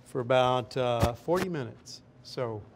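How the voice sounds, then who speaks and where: A middle-aged man, a chef, talking through a recipe in a relaxed, chatty way in a restaurant demo kitchen. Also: mid-range voice, unhurried, creaky, noisy background. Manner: relaxed, chatty step-by-step explaining. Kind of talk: cooking demonstration.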